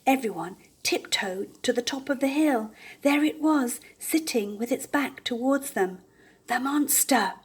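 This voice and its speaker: Older female storyteller, high and very expressive, unhurried picture-book narration. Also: slightly rough. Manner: deliberate, theatrical delivery. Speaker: storyteller reading aloud.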